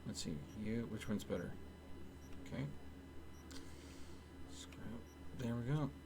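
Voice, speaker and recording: A man's voice: mid-range voice, takes it slowly, gravelly, noisy background. A man doing casual gameplay commentary as a streamer, talking absent-mindedly to himself. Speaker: game streamer.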